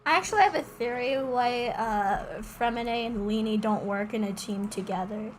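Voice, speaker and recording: A woman's voice: on the high side, normal speed, a little hiss or hum, low-quality recording. A woman chatting casually and musingly, with some hesitation, as she commentates on a game livestream. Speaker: streamer. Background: faint game music.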